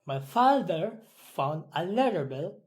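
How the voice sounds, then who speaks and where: A woman, an English student carefully and haltingly repeating drill words and practice sentences. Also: on the low side, big pitch swings, a little mellow. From Philippines.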